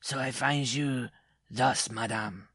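A man's voice: mid-range voice, fairly even intonation, slow, fairly bright tone, slightly rough, dry recording, studio quality, voice pushed up, heavily acted. A man voicing a small, vengeful circus dwarf in a story, formal, cold and menacing. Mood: cold menace.

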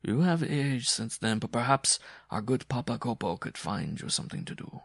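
A man's voice: mid-range voice, lively intonation, moderate pace, gravelly, breathy edge, no room sound, clean studio sound, voice pushed up. A man doing a concerned circus bareback rider. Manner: soothing, persuasive.

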